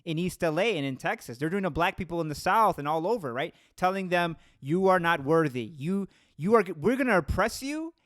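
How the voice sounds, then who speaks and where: A man's voice: sits high, lively intonation, fairly quick, few pauses, fairly bright tone, dry recording, studio quality. A man interviewing casually, often checking for agreement with short tag questions.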